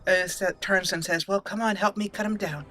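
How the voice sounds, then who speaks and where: Bright-voiced woman narrating a game. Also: mid-range voice, steady tone, fairly quick, soft background music. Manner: chatty, emotive, narrates in story-like asides. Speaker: let's play host and gamer. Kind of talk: casual roleplay game commentary.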